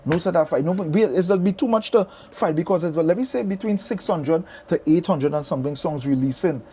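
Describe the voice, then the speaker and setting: A man's voice: on the high side, a little fast, warm tone, slight background noise. A Trinidadian man in a casual interview as a soca artist, speaking emphatically and repeating his phrases.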